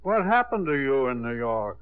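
A man's voice: mid-range voice, very animated, normal speed, clear, resonant tone, natural voice. An actor playing a sly, nosy older father who teases dryly, in 1950s radio comedy style. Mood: prying. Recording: tinny, muffled 1950s radio broadcast.

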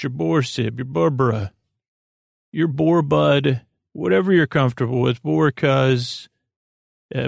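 A man with a creaky, gravelly voice hosting a sleep podcast in a meandering, halting, goofy monologue that keeps interrupting itself. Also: medium pitch, dry recording, clean studio sound. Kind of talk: rambling bedtime monologue.

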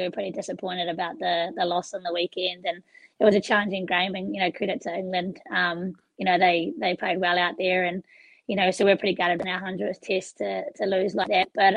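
Hoarse woman with a gravelly, flat voice. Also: medium pitch, no echo, rough recording. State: hoarse, lost her voice. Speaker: rugby player guest. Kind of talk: casual interview.

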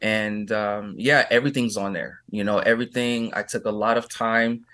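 A man's voice: on the low side, steady tone, normal speed, a bit bright. Casual American man, pop singer being interviewed. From California, USA. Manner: gushing, informal, with frequent "like" and "you know".

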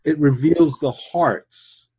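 Husky man hosting with a New Jersey accent. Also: on the high side, dark tone. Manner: hesitant, halting. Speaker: host. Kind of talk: casual religious talk show. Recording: dull lo-fi sound.

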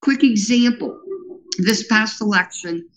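A woman's voice: medium pitch, unhurried, slight background noise. A woman being interviewed as a grassroots organizer, talking in an earnest, rambling way with many fillers and a powerful voice. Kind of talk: casual interview talk.

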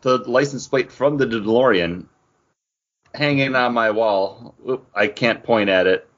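A man's voice: medium pitch, measured pace, a bit bright, lo-fi sound. A man co-hosting a podcast, chatting casually with a geeky fan's enthusiasm. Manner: enthusiastic geeky fan talk. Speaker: podcast co-host. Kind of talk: casual pop-culture chat.

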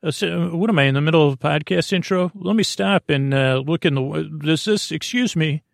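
A man hosting a sleep podcast with an improvised bedtime monologue, meandering, halting and mildly self-deprecating, with a creaky voice. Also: a little high, no room sound, studio quality. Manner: meandering, halting, often trailing off mid-sentence.

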